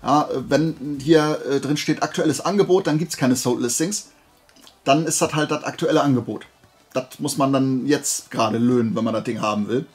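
A man's voice: medium pitch, normal speed. A man chatting casually and colloquially as he hosts a show-and-tell haul video, with an enthusiastic collector's manner. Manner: conversational, colloquial, enthusiastic collector's chat with self-posed questions. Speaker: host of a flea market haul video.

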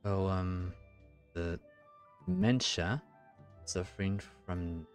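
Man, game streamer, very low voice, unhurried, casual commentary, game music behind. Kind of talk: casual let's play commentary. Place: indoor room, game audio behind.

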